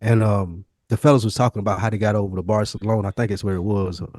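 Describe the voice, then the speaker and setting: A man's voice: lowish voice, steady tone, fast, a little mellow, dry recording, studio quality. A man co-hosting a podcast, telling stories and reflecting candidly in casual, slangy language with some swearing. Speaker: podcast co-host.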